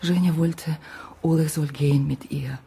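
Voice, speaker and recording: An actress playing a gentle, weary Ukrainian immigrant woman in a radio drama, speaking halting, broken German in a husky voice. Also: deep voice, steady tone, slight background noise. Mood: sorrowful, confiding. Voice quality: husky, breathy voice. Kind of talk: naturalistic radio drama acting.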